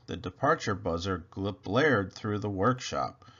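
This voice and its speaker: A man with a gravelly, husky voice narrating a children's story in an expressive read-aloud style. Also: low-pitched, a little slow, dull audio. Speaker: storybook reader.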